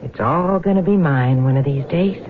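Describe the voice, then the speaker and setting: An actress playing a greedy, scheming wife with a sly, slangy, drawling manner in a 1970s radio drama. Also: female voice, low-pitched, normal speed, phone-quality sound, a crowd in the background, lightly acted. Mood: greedy, calculating. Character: greedy, scheming, unfaithful wife, hard-boiled and brassy. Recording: thin old radio broadcast.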